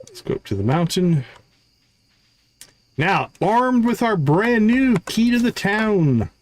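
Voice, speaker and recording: A man's voice: high-pitched, very animated, takes it slowly, no echo. A man giving casual live gameplay commentary, thinking aloud as he counts off items and talks through his tasks. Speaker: live streamer, gaming host.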